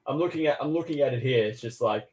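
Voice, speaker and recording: A man co-hosting a casual review podcast, talking haltingly and repeating phrases as he thinks aloud. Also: medium pitch, darkish tone, slightly rough, poor audio.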